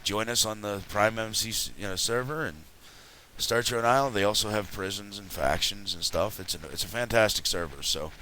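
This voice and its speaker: A man hosting a casual game commentary, his voice raspy from having just woken up, rambling and chatty as he plays. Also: on the low side, normal speed, pushed and bright, a little hiss or hum, rough recording. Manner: rambling, chatty, self-correcting. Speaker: let's play host.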